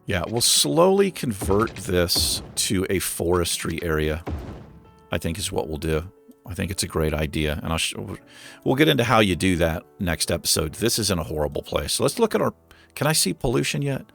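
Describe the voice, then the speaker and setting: Gravelly low-voiced man narrating gameplay. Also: moderate pace, soft background music. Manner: relaxed, thinking aloud with many fillers. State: losing his voice. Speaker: gaming channel host. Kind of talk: casual gameplay commentary.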